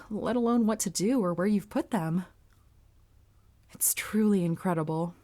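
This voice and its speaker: A woman playing a polite, earnest, bashful young anime heroine with a crush, speaking formally and gently gushing in a roleplay audio. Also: medium pitch, lightly acted. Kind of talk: anime character roleplay audio, addressed to listener.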